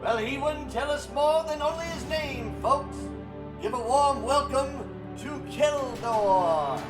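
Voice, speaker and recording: A showy arena announcer, echoing from far off over music. The voice is extremely high and drawn out, proclaiming to a crowd in a fantasy audio drama. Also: female voice, expressive, slow pace. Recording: echoey, distant from the mic. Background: loud music.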